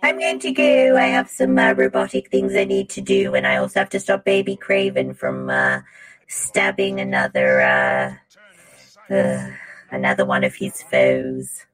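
A performer voicing a prim female robot nanny on a podcast, speaking in a halting, proper, faux-British way. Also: rumbling low, expressive, a bit bright, faint music behind, full character voice. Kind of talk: comic bit on a podcast.

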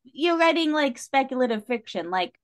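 A woman's voice: sits high, animated, moderate pace. Effusive, opinionated woman podcast guest. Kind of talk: casual podcast discussion.

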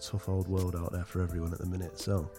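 Low-pitched man hosting a podcast. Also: completely flat delivery, quick pace, over music. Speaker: podcast host.

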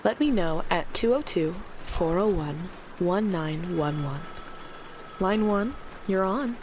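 A woman's voice: on the low side, lively intonation, slow, a little hiss or hum. A woman playing a concerned small-town late-night radio host in an eerie audio drama. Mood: concerned, engaging.

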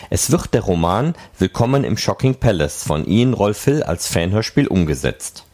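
A man's voice: lowish voice, darkish tone. A man hosting a podcast, reading scripted narration. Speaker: podcast host.

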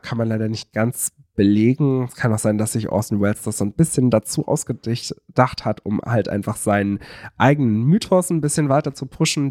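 Low-voiced young man, dry close sound. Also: darkish tone, no echo. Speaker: podcast co-host. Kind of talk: casual explanatory podcast talk.

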